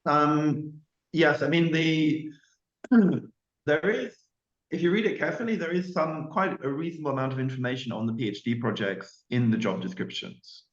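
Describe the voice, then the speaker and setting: A man explaining the application requirements at an online information session, measured and peppered with "you know" asides. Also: fairly high pitch. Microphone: video call. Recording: roomy, muffled call audio.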